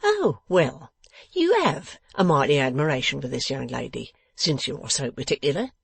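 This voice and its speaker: A woman voicing a blustering, contemptuous older rich man in an audiobook, loud, mocking and emphatic. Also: rumbling low, big pitch swings, normal speed, vocal fry, breathy edge, no room sound, full character voice. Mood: taunting. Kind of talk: dramatised audiobook reading.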